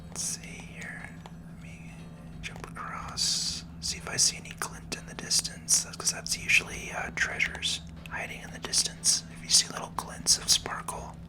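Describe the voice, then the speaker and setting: A person whispering calm, unhurried ASMR commentary over a video game playthrough in a soft, breathy voice. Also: low-quality recording. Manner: calm, unhurried, gently explanatory. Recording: music behind the whisper. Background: game music.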